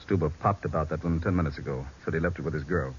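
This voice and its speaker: An American man playing a gruff, terse police detective in a 1940s radio drama. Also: extremely low pitch, rapid-fire. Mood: matter-of-fact. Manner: terse, matter-of-fact. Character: gruff, businesslike male police detective partner. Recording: muffled, noisy old radio broadcast.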